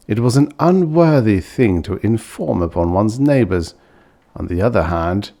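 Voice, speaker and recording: Low-pitched, expressive male audiobook narrator. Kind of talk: scripted fiction narration with expressive reading.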